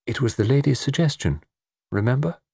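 A man voicing a calm, enigmatic gentleman in an audiobook, speaking in a dreamy, measured, knowing way. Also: mid-range voice, gravelly, breathy edge, no room sound, clean studio sound, voice pushed up. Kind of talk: audiobook character dialogue.

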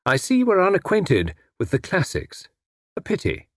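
A man's voice: high voice, wildly swooping pitch, normal speed, no echo, studio quality, voice pushed up, full character voice. A man voicing a cheerful, flippant young English detective, breezy and unruffled in a story. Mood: teasing.

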